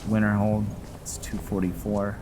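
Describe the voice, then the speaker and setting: A man's voice: lowish voice, level tone, normal speed, noisy background. A man giving casual, laid-back commentary as he roleplays through a video game, musing aloud and chatting to viewers. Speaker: gaming video host. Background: game music.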